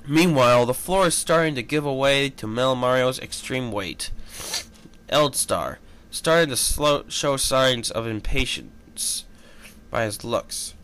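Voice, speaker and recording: Man, amateur fan fiction reader, slow pace, medium pitch, halting delivery, self-correcting, casual commentator. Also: lively intonation, fairly bright tone, slight background noise. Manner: halting, stumbles over words and corrects himself. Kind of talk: amateur story reading with casual asides.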